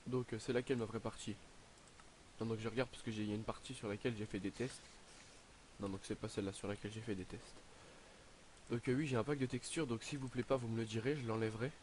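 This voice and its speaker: A man's voice: on the low side, steady tone, fast talker, darkish tone. Teen boy rambling over his game, stuffy from a cold, lots of umms, faint hiss on the mic. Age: teens. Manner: hesitant, lots of "donc, euh" fillers. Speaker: gaming YouTuber. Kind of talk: casual game commentary. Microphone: headset.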